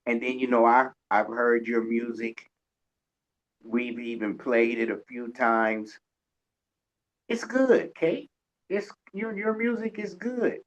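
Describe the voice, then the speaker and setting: A man hosting a casual radio interview, talking in a halting way and often repeating words. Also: medium pitch, expressive. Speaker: radio show host and interviewer. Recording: dull lo-fi recording.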